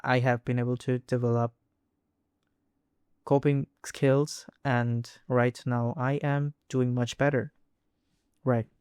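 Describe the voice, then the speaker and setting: A man's voice: mid-range voice, flat delivery, a little mellow, smooth, no echo, studio quality. A young man from India with an Indian English accent, talking casually to camera, hesitant with frequent restarts and fillers. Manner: halting, many restarts and fillers. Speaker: vlogger. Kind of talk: casual personal talk to camera.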